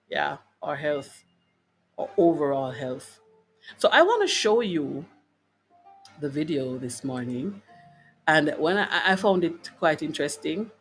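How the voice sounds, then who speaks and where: A woman with a Jamaican accent speaks at a middling pitch over faint music. She hosts an informal, motivational wellness talk, stressing her points and often checking in with listeners through short tag questions. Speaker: host of a wellness broadcast. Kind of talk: informal motivational health talk to a live online audience.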